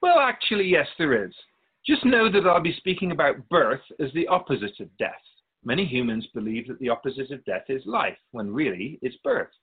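A man playing a wise, patient otherworldly spirit in a radio drama, explaining things in a measured, gently teasing way. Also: medium pitch, sing-song, breathy edge, narrow, tinny audio. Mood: musing, playful. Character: Keeper of Souls' Purpose, wise otherworldly spirit, patient and curious.

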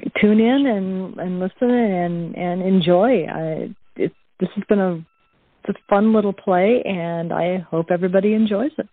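A woman explaining things in a casual interview over the phone, haltingly and often repeating her words. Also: medium pitch, no echo. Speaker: cast member. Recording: tinny phone line.

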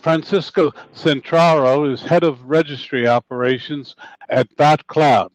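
A male radio host interviewing a guest, talking with many fillers and halting restarts. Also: on the high side, steady tone, no echo, poor audio.

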